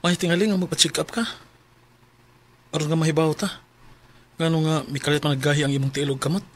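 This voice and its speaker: A man's voice: fairly high pitch, fairly even intonation, fast talker. A man with a Cebuano Filipino accent playing a devoted, anxious, tender husband and father in a sentimental radio drama. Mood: gentle, caring. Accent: Filipino, Cebuano-speaking. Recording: music behind the voice.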